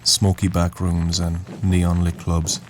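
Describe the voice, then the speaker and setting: A man narrating a scripted dramatic story in a solemn, poetic, deliberate way. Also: low voice, completely flat delivery, a little hiss or hum. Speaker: narrator, host of a story series. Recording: music bed under the voice. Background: music.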